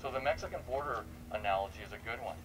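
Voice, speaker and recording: A man's voice: mid-range voice, animated, rapid-fire, a bit bright. A man speaking informally as he leads a discussion with students, probing with questions and repeating words. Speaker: panel speaker leading audience discussion. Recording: distant mic, noisy room.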